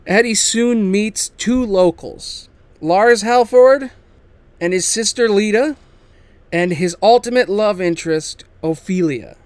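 A man with a fairly high, clear and smooth voice hosts a casual, half-improvised pitch. He delivers it with theatrical emphasis, slips into offhand asides and swears freely. The sound is dry, with very little room. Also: slow pace, dry recording. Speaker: host pitching video game movie adaptations. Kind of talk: casual, semi-improvised story pitch to camera.

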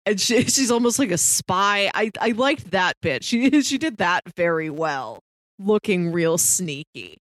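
A woman's voice: mid-range voice, lively intonation, a little slow, no echo, studio quality. A woman co-hosting a podcast, chatting casually about films in a conversational way with light laughter in her speech. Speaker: podcast co-host. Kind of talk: casual movie discussion.

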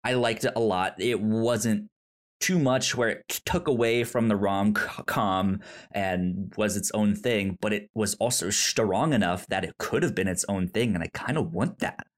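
Halting, stammering podcast co-host. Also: mid-range voice. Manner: halting, stammers on repeated syllables. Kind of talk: casual film discussion.

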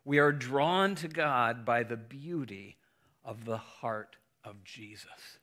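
Slow, bright-voiced preaching man. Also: medium pitch. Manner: measured, earnest preaching. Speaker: pastor. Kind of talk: sermon and interview.

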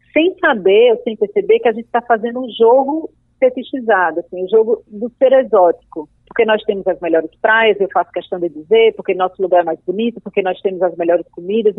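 A woman's voice: mid-range voice, no room sound. Thoughtful Paraíba woman on a tinny line. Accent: Northeastern Brazilian, Paraíba. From Paraíba, Northeast Brazil. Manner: thoughtful, articulate, builds arguments. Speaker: interview guest. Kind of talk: reflective conversation. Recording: dry tinny phone-like call audio.

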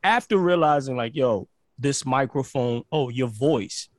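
A man's voice: medium pitch, a little slow, dry recording, clean studio sound. A man from Barbados, a podcast guest, telling stories in casual chat with lots of restarts and "like". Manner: animated storyteller, repeats words and says "like" often.

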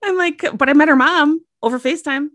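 A woman's voice: high-pitched, fast, fairly bright tone, dry recording. A woman co-hosting a podcast, telling stories in casual chat, gushing, laughing a lot and swearing freely. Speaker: podcast co-host.

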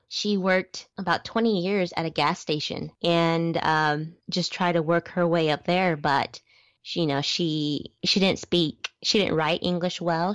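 Chatty young-sounding woman, low voice. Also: dry recording. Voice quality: youthful-sounding voice. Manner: chatty, restarts words, laughs often. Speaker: guest, small business founder. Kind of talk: podcast interview, casual storytelling.

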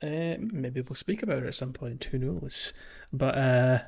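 A man's voice: mid-range voice, a little slow, gravelly, darkish tone, husky. A man with a mid-range voice chats as a gaming podcast co-host. He is informal and conversational, often thinking aloud, and the recording is a little dull and lo-fi. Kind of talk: casual gaming podcast chat.

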